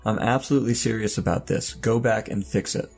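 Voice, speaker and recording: Male actor as older otherworldly mentor, low gravelly voice, solemn, cryptic, commanding, audio drama, music underneath. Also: a little fast, darkish tone. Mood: grave, urgent.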